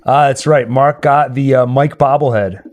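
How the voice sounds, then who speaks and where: A man's voice: mid-range voice, steady tone, moderate pace, darkish tone, a little hiss or hum. A man hosting a casual livestream, chatting and speaking directly to viewers. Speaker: livestream host. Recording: music playing behind the voice. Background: game and tune music.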